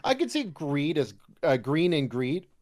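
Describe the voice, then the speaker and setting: Animated Canadian man chatting, very lively pitch. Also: a little slow. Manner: animated, slipping into mock voices when quoting. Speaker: podcast guest, voice actor. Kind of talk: casual interview chat.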